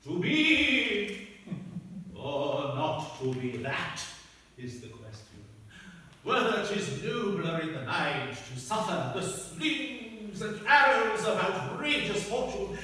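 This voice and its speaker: A man's voice: sits high, big pitch swings, takes it slowly, sharp tone, far from the mic, a little hiss or hum, full character voice. A man playing a pompous, self-important knighted actor who declaims grandly in a live comic radio-play staging. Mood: grandiose. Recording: echoey hall, picked up from afar.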